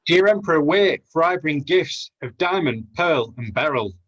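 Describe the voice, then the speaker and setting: Man as lovestruck young hero, fairly high earnest voice, declaimed pantomime rhymes, slightly dull recording. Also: animated, normal speed, fairly bright tone. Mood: pleading. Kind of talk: rhyming amateur pantomime acting.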